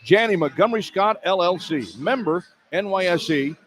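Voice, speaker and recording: A man's voice: sits high, lively intonation, moderate pace, fairly bright tone. A man doing live radio basketball play-by-play in short, clipped running phrases over arena noise. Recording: arena crowd noise behind broadcast. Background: arena ambience.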